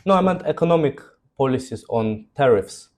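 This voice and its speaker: Mellow young man interviewing. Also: mid-range voice, expressive, normal speed. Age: young adult. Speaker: podcast host, interviewer. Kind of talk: interview questioning.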